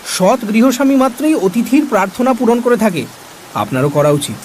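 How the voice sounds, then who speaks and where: An actor playing a curious, coaxing listener who narrates an audio horror story in an expressive, literary way. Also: male voice, high voice, moderate pace. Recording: faint noise under the voice. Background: rain sounds.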